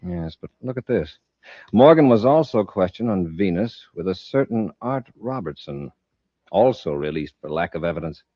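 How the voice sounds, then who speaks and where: An actor playing an authoritative space patrol commander in an old radio serial, clipped and commanding. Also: male voice, low voice, expressive, normal speed, dry recording. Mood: serious, probing. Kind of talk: old-time radio sci-fi acting. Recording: dull lo-fi old radio recording.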